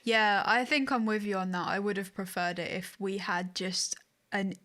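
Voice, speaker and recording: Medium-pitched woman in casual chat. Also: steady tone, normal speed, bright, forceful tone. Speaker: podcast co-host. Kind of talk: casual chat about video games.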